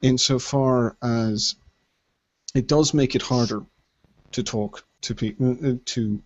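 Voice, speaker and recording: Irish man, posh accent, careful and halting, breathy husky voice, muffled online audio. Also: mid-range voice, no room sound. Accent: posh-sounding Irish. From Ireland. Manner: measured, hesitant, stammers when pressed. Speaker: debate participant, left activist. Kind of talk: online voice-chat debate. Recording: dull lo-fi voice chat audio.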